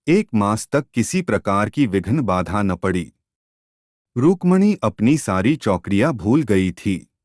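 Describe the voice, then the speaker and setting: A man narrating a literary short story in an expressive, measured storytelling manner. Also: on the low side, dry recording, clean studio sound.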